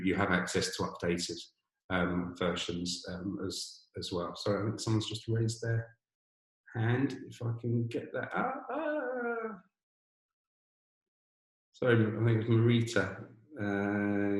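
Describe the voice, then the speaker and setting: Guy with a deep boomy voice doing a webinar, lots of restarts, echoey empty office sound. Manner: hesitant, many restarts and fillers. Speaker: webinar presenter. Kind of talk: informational webinar talk. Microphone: computer mic on a video call.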